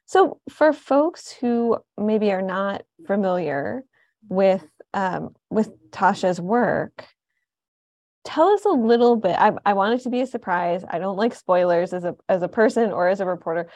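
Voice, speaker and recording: A woman's voice: medium pitch, a little mellow. An American woman hosting a radio interview in a conversational, informal and thoughtful way. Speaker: radio host and reporter.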